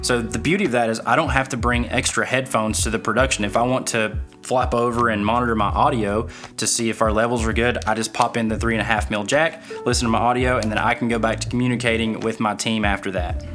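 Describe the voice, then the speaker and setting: A man's voice: medium pitch, steady tone, quick pace, a bit bright, faint music behind. A man giving a casual, conversational gear review to viewers, with touches of dry humour.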